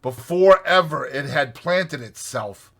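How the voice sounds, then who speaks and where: A man's voice: fairly high pitch, lively intonation, slow pace, a bit bright, full character voice. A man voicing a kindly, opinionated badger in a dramatized storybook reading, with an emphatic, declaiming manner. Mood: explanatory. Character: hearty, kindly, opinionated badger, proud of his burrow.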